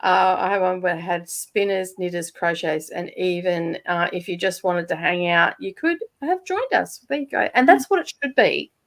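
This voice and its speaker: A woman's voice: on the low side, lively intonation, moderate pace, hardly any pauses. Chatty Michigan woman hosting a livestream. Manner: chatty, laughs often, many fillers. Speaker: livestream host. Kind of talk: casual chat with viewers.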